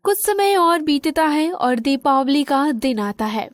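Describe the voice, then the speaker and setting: A woman's voice: high-pitched, lively intonation, a little slow, no echo. A woman reading scripted narration for a children's moral story.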